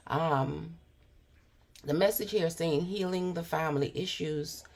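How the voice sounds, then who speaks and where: Woman, tarot reader, hoarse from a cold, husky and breathy, slow unhurried delivery, musing. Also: sits low, darkish tone. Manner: unhurried, musing, frequent fillers. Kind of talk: spiritual tarot reading to camera.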